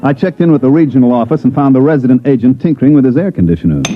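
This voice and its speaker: A hard-boiled male investigator, played by a radio actor tells his case at a rapid clip. He is wry and weary, full of dry wisecracks. The sound is a dull vintage radio recording with music underneath. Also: medium pitch, lively intonation, lightly acted. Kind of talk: 1950s radio drama acting, hard-boiled narration. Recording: muffled old radio recording, music behind.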